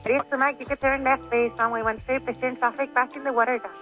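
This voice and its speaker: A woman's voice: high-pitched, fairly even intonation, quick pace. A woman giving air traffic control instructions over aviation radio. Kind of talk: radio phraseology. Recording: muffled tower radio transmission, music behind.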